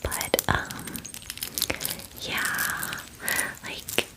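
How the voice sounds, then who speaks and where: A woman voicing a friendly, doting female dragon in a whispered ASMR fantasy roleplay, with gushing, hesitant, filler-heavy chatter. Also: extremely slow. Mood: earnest, reassuring. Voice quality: breathy whisper.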